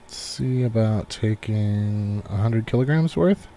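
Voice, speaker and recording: Guy streaming a game, low voice, rambles and thinks out loud, getting over a cold, faint hiss behind. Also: unhurried. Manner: relaxed, rambling, thinks aloud. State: recovering from a cold. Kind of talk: casual live gameplay commentary.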